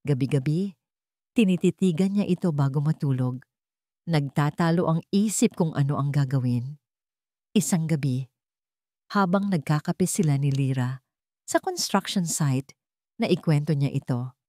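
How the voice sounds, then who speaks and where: A woman narrating a scripted sentimental drama in measured, short phrases. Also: sits low, warm tone, no echo, clean studio sound.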